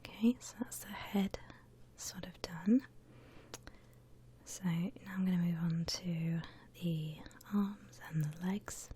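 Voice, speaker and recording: A woman whispering gently and breathily as she guides listeners through a drawing, in the style of an ASMR tutorial. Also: on the low side, a little hiss or hum. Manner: gentle, unhurried step-by-step explaining. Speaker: host of an art ASMR channel.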